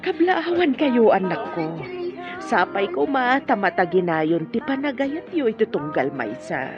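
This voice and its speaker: A woman playing a caring, gently worried older mother in an Ilocano radio drama, with an Ilocano accent. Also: medium pitch, big pitch swings, measured pace, highs cut off, music in the background. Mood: heartfelt.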